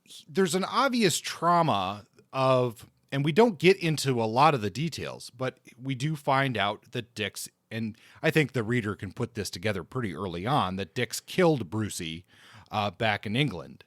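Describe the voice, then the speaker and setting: A man's voice: mid-range voice, animated, normal speed, fairly bright tone, no room sound. Man casually discussing books, dry close sound. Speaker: podcast co-host.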